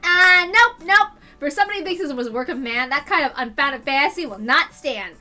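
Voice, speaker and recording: A woman's voice: sits high, expressive, a little fast, pushed and bright, faint music behind, full character voice. A woman voicing a brash, skeptical, teasing teenage girl in a visual novel. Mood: brash, insistent.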